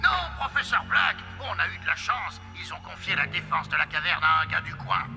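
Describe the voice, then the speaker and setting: A bullying cartoon lackey with a high, gravelly edge. His delivery is theatrical and sneering, jumping around in pitch, with music underneath. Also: expressive, fairly quick, bright, forceful tone, exaggerated voice. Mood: deferential. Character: mocking male henchman, bullying and sly, a villain's lackey. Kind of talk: French animated series dubbing. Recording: music behind the voice.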